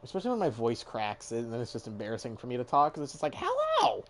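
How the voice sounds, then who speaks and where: A man's voice: mid-range voice, huge pitch swings, fast talker, fairly bright tone. A young man streaming a game, chatting casually, swearing and mocking himself, now and then singing along. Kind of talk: casual gameplay chat.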